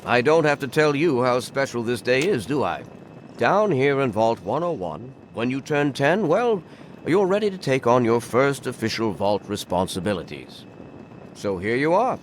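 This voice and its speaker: A man's voice: mid-range voice, sing-song, normal speed, slight background noise, close to an everyday voice. A man playing a stern, pompous, authoritarian vault overseer in a video game, formal and condescending. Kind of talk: video game character acting.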